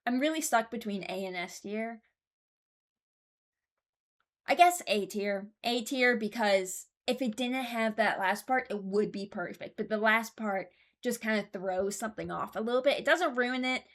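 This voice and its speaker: A woman's voice: on the high side, a little slow. A woman giving casual commentary, thinking aloud in a hesitant, deliberating way and often correcting herself. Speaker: host ranking game voice lines.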